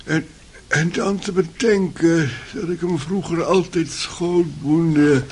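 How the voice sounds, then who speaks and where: A man playing a frail, shaken old servant in a radio play, loyal and bewildered, stammering and halting. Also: fairly high pitch, darkish tone, a little hiss or hum. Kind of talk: Dutch radio play acting.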